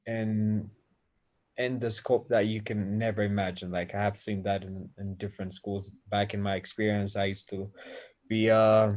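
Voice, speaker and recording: A man's voice: lowish voice, level tone, moderate pace, darkish tone. A man from Ethiopia, an engineer, answering interview questions with many fillers and restarts. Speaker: engineer and innovation center founder.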